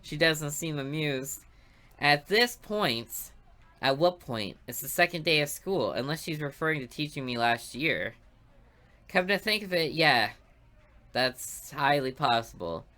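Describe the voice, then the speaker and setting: A woman's voice: sits low, lively intonation, a little slow, fairly bright tone. A woman hosting a gaming video, reading a visual novel aloud expressively with her own asides.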